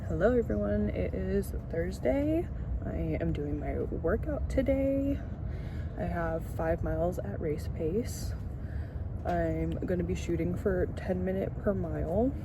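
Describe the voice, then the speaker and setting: A woman's voice: fairly low pitch, lively intonation, takes it slowly. A young woman vlogging in a casual, chatty way, filling her talk with "like" and "um". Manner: chatty, many fillers like "like" and "um". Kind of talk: casual vlog chat. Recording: noisy with traffic sounds.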